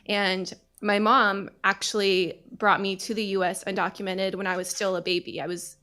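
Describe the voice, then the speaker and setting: A woman in her thirties opens a panel discussion with a personal story. She talks earnestly and at a measured pace, with frequent hesitations. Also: mid-range voice, bright, forceful tone. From United States. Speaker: panel moderator.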